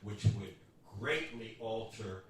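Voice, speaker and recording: A man giving an impassioned political speech at a panel event, with emphatic, deliberate phrasing. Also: on the low side, lively intonation. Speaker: panel speaker. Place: bookstore event room. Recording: echoey room, distant mic, background noise.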